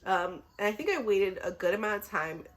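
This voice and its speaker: A woman speaks as a book vlogger in a casual, chatty style, sounding slightly sick. Her delivery is effusive and rambling with a giggly streak, and her pitch sits in the middle range for a woman. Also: lively intonation, fairly quick, a bit bright.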